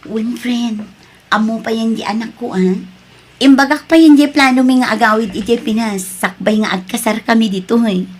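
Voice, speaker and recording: Give me a woman playing a husky-voiced, earnest Ilocano single mother. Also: a little high, normal speed. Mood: friendly, earnest. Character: Maria, earnest working single mother with a new boyfriend. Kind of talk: Ilocano radio-style drama acting.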